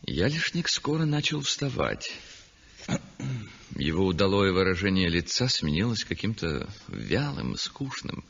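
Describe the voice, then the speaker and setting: A man's voice: sits low, vocal fry, a bit bright, breathy edge, full character voice. An actor playing a self-satisfied, exuberant and condescending landscape painter, theatrical and savouring his phrases, in a 1960s radio drama style. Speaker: actor narrating in first person. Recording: dull vintage 1960s radio recording.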